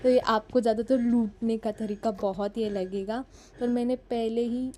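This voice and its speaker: A woman's voice: a little high, steady tone, normal speed, a little mellow, a little hiss or hum. A Marathi-speaking woman from Maharashtra with a Mumbai Hindi accent, chatting through a voice-over of her mobile gameplay, self-deprecating and switching between Hindi and English. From Maharashtra, India. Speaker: mobile gaming YouTuber. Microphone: phone mic.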